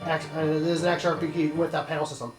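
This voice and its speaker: A man hosting a let's play, explaining the game in casual, halting commentary. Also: a little high, level tone, echoey room, music in the background.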